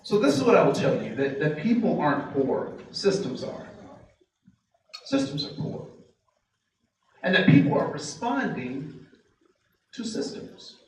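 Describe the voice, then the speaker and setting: A man giving a workshop to a live group, asking the audience many rhetorical questions and slowing down to stress key phrases. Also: on the high side, sing-song, darkish tone. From United States. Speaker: diversity trainer. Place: training room with tables. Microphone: distant mic picking up the room. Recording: very echoey room, speaker far from mic, faint noise.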